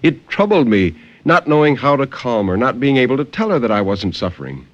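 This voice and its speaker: A man playing a troubled, tender husband believed dead, in a deliberate 1950s radio horror monologue. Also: mid-range voice, sing-song, lo-fi sound, natural voice. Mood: sorrowful. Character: anguished French husband believed dead, telling his tale. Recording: noisy vintage 1950s radio recording.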